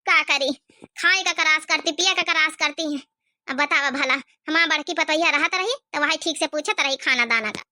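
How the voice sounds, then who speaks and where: A woman's voice: sits high, normal speed, bright, forceful tone, dry recording. A woman voicing a meek, placating old grandmother with an Awadhi accent in a cartoon comedy, plaintive and hesitant. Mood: resigned. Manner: plaintive, hesitant with filler phrases.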